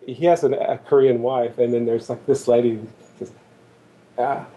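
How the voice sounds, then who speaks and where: A man chatting casually as a podcast guest, telling anecdotes in a halting way as he searches for words. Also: mid-range voice, flat delivery, warm tone, rough recording.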